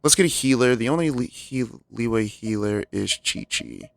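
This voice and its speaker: A man's voice: mid-range voice, moderate pace, vocal fry, no echo. A man giving casual gameplay commentary as a streamer, thinking aloud as he goes. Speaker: game streamer.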